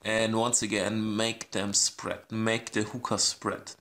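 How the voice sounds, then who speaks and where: A man with a scratchy voice giving casual commentary on a strategy game, thinking aloud with many pauses and ums. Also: fairly low pitch, little pitch movement, pushed and bright. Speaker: gaming channel host.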